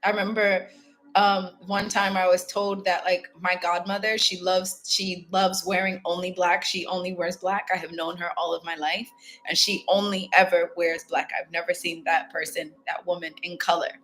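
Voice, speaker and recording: A woman's voice: on the low side, level tone, moderate pace, fairly bright tone, faint music behind, rough recording. A woman from Ghana hosting a casual motivational self-help talk, candid and reflective, chatting directly to her viewers. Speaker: spiritual self-help host.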